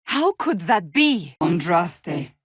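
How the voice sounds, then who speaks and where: A woman's voice: on the low side, sing-song, takes it slowly. An actress playing a stern, devout warrior woman in a fantasy video game, speaking in a formal, earnest, blunt way. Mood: forceful. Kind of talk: dramatic fantasy game acting.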